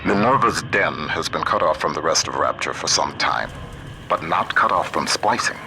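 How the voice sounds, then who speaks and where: A steady older man, acting as a trusted guide in a video game. His voice is deep, earnest and plain-spoken, heard over a noisy radio link. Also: moderate pace. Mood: guarded, encouraging. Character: steady, folksy older male scientist ally guiding the hero.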